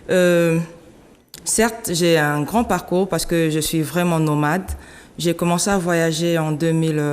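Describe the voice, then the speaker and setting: Woman from DR Congo, reverberant hall. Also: sits low. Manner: animated storyteller who laughs often. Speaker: guest. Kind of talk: conversational interview.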